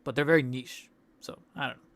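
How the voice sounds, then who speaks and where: A man's voice: mid-range voice, steady tone, a little fast, a bit bright. A young man hosting a toy collecting livestream, losing his voice, rambling casually with restarts and laughs. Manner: rambling, self-interrupting, laughs between thoughts. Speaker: toy channel host. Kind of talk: casual livestream wrap-up chat. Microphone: studio desk mic.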